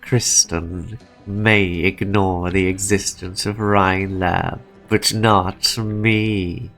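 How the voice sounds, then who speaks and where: A man voicing a calculating, sardonic and menacing executive in a game fan dub, speaking deliberately. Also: deep voice, level tone, creaky, fairly bright tone, exaggerated voice. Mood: guarded, insistent. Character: calculating male corporate executive, sardonic, menacing, pressing for truth. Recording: music behind the voice.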